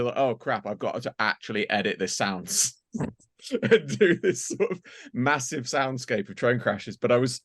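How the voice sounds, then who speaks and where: A man with a mid-pitched voice talks casually among colleagues. He rambles through behind-the-scenes stories as a creator and performer, breaking into quoted asides as he goes. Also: a bit bright, no room sound, studio quality. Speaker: audio drama creator and performer. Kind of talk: casual group chat, behind-the-scenes reminiscing.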